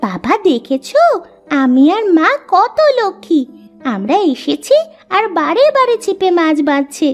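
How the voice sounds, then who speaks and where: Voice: high-pitched, big pitch swings, a little slow, soft background music, heavily acted. A performer voicing a bossy, cheeky little daughter from a village in a cartoon, precocious and chiding. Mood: proud. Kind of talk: exaggerated Bengali cartoon voice acting.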